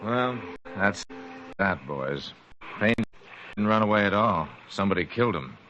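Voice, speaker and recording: A man playing a brisk, confident police captain in an old-time radio crime drama, with an authoritative, clipped manner on a noisy vintage recording. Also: lowish voice, expressive, pushed and bright, dull audio. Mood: confident, decisive.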